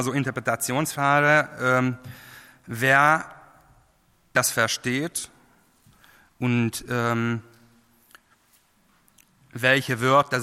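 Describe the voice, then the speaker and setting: A man's voice: mid-range voice, pushed and bright, clear, resonant tone. Non-native man preaching in a reverberant hall. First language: not German. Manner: halting, many fillers and restarts. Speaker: lay preacher. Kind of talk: sermon.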